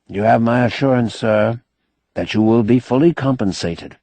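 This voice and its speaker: A man voicing a measured, guarded government secretary with calm authority, formal and restrained, in an audiobook. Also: mid-range voice, no room sound, close to an everyday voice. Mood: formal, reassuring. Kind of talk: audiobook character acting.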